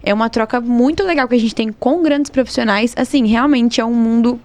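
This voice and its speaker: A young woman with a Brazilian accent and a somewhat high voice. She hosts in a casual interview style, chatty and encouraging, with frequent little interjections for her guests. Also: a little fast, darkish tone. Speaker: podcast host.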